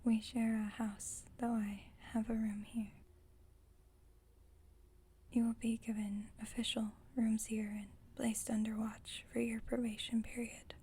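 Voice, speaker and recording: A woman playing a calm, formal, caring vampire coven leader in a whispered ASMR roleplay, speaking in a gentle, measured, breathy whisper. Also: on the high side, heavily acted. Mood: polite, composed.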